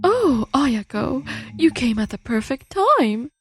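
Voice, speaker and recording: A woman's voice: high voice, sing-song, slow pace, soft background music, full character voice. A woman voicing a kindly but indignant middle-aged mother in a dubbed manga drama. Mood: welcoming.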